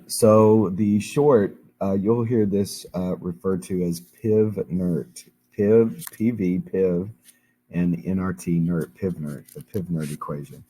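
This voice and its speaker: A man teaching a chemistry lesson while hoarse and losing his voice, explaining each step patiently with frequent ums. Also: sits low, a little mellow, rough recording. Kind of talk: recorded classroom lecture.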